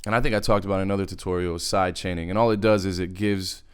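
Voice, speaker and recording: A man's voice: deep voice, steady tone, racing. A man giving a casual music production tutorial in laid-back slang, rambling off into asides as he goes. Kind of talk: casual screen-recorded tutorial. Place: indoors, voice-over on a screen recording. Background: music from the software.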